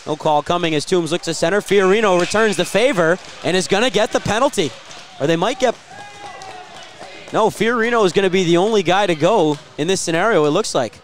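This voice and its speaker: A man doing live radio play-by-play of ice hockey, describing each move and surging with excitement on big plays. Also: high voice. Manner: rapid-fire descriptive calls with excited surges at big plays.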